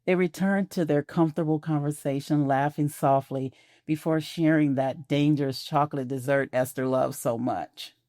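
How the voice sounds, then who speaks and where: Low voiced lady reading a drama, calm and close, dry sound. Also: normal speed, no room sound. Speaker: narrator. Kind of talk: scripted audio drama narration.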